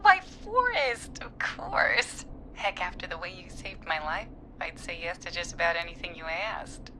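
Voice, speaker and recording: An actress playing a perky, flirty, evasive jazz studio owner in a video game, with a theatrical, gushing manner. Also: female voice, on the low side, sing-song, a little slow, bright, forceful tone, slight background noise, full character voice, low-quality recording.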